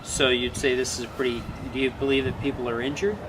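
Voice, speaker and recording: A man's voice: mid-range voice, fairly even intonation, fairly quick. A man asking questions as a volunteer taking a report in an emergency drill. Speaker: message intake volunteer. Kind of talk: question-and-answer drill role-play. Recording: loud outdoor noise, distant mic, vehicles.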